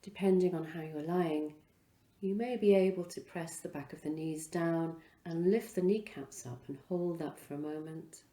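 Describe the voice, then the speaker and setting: A woman leading a guided relaxation as a yoga instructor, speaking in an unhurried, soothing way with pauses between phrases. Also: low-pitched, fairly even intonation, warm tone, reverberant.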